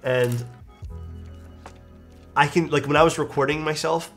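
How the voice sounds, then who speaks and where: A man's voice: fairly high pitch, fairly even intonation, measured pace, fairly bright tone, soft background music. Young guy streamer, stuffed up and nasal, chatty and swears a bit. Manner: chatty, reads chat aloud, swears casually. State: stuffed-up nose. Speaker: keyboard-building streamer. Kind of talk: casual live-stream chat.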